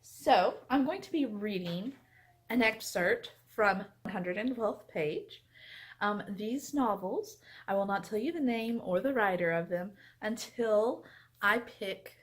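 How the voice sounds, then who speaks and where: A woman's voice: medium pitch, animated, takes it slowly. A woman chats casually to her viewers as a book vlogger, gushing and leaning on her words. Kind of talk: casual vlog chat to viewers.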